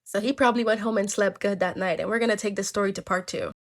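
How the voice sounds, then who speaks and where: A woman's voice: medium pitch, fast talker. A woman telling folktales in a casual, chatty way, tossing in joking asides to listeners. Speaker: storyteller.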